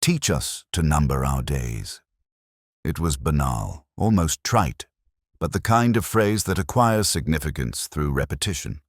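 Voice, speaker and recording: A very low-voiced, melancholy older retired inspector acted by a man narrates a literary detective story. His delivery is measured and erudite, with lively rises and falls and a wry, wistful turn. The sound is close and dry. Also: no echo, exaggerated voice. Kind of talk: literary first-person detective drama monologue.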